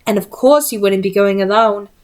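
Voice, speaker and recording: A woman's voice: mid-range voice, normal speed, natural voice. A woman reader acts out a seventeen-year-old boy hunter from a storybook. He is bold and sure of himself, insistent and impatient, with a cocky edge.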